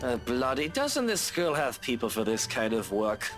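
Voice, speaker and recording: An actor playing a haughty, teasing English gentleman in a visual novel, sarcastic and condescending. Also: medium pitch, big pitch swings, a little slow. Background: game music.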